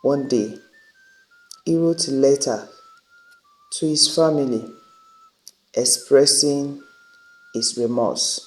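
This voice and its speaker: Very slow-paced male storyteller. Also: mid-range voice, poor audio. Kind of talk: scripted dramatic folktale narration.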